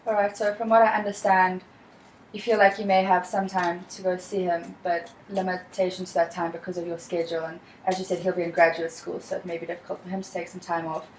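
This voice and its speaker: A young woman from South Africa with a South African accent, leading a practice counseling session in a calm, measured, reassuring way. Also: fairly low pitch, reverberant, a little hiss or hum, low-quality recording. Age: young adult. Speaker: student counselor.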